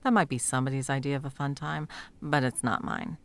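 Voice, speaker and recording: Female sound mage, acted by a woman for audio roleplay: low register, gravelly fry, cursing, bossy, teasing, secretly smitten. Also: fairly even intonation, brisk. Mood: teasing, fond. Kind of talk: intimate second-person audio roleplay acting.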